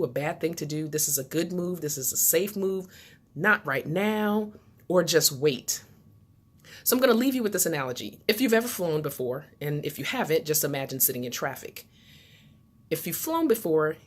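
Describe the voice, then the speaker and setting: A woman with a low-pitched voice delivers a motivational talk as the host of her own channel. She speaks directly to the viewer in a deliberate, instructive manner, leaning on key words to make each point.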